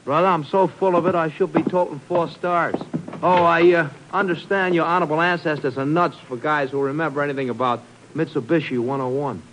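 A man's voice: fairly high pitch, fairly even intonation, a little fast, a bit bright, close to an everyday voice, poor audio. An actor playing a cocky, menacingly playful gunman who talks in sardonic slang, in 1950s radio drama style. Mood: mocking threat. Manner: slangy, sardonic tough talk. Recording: slightly hissy 1950s radio recording.

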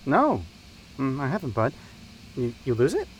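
A man's voice: medium pitch, wildly swooping pitch, normal speed, slight background noise, low-quality recording. A man playing an easygoing young camp counselor in an audio drama, casual, upbeat and folksy.

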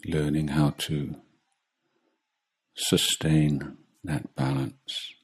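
A man leading a guided meditation as a teacher, speaking calmly in short, measured phrases with long pauses. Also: very deep voice, husky. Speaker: Buddhist monk meditation teacher.

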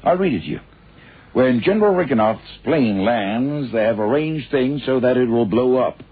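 An actor playing a worried, brisk official who explains things emphatically in a vintage radio crime drama. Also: male voice, mid-range voice, animated, phone-quality sound. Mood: urgent, grave. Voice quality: husky, rough. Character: worried, brisk male official briefing investigators. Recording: thin, band-limited old radio recording.

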